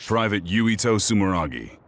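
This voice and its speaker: A man playing a confident, seasoned major general in a video game, speaking with measured, encouraging authority. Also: fairly low pitch, very animated. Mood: commanding, approving. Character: commanding major general, confident, seasoned male military officer.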